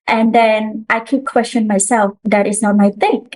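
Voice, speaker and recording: A woman's voice: mid-range voice, fairly even intonation, normal speed, darkish tone, low-quality recording. A woman, a guest telling her story earnestly in a casual interview, with restarts. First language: Khmer.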